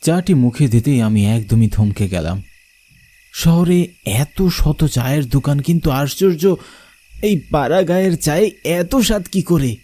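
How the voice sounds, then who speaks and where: A man's voice: a little high, unhurried, warm tone. A man narrating a scripted horror story in the first person, in a dramatic, suspenseful manner. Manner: suspenseful, theatrical.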